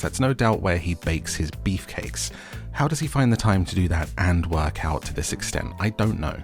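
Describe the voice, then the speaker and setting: A man hosting a scripted recap with playful, tongue-in-cheek asides. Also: deep voice. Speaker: host of a recap and review channel. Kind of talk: scripted recap narration with wry jokey asides.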